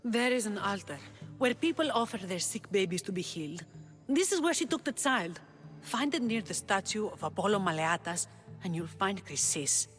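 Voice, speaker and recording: A woman playing a sorrowful temple woman in a video game, speaking in a solemn, measured way. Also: mid-range voice, sing-song, soft background music, close to an everyday voice. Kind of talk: dramatic game cutscene acting.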